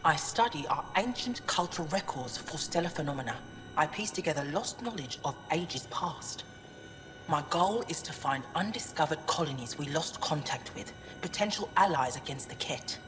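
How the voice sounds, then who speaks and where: Game casting: serious female alien researcher, very low register, formal and resolute, reverberant, music underneath. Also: lively intonation, moderate pace. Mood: earnest, concerned. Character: earnest, challenging female alien scholar, resolute and reserved. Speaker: archaeoastronomer. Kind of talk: video game dramatic acting. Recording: long reverb, clear music behind voice.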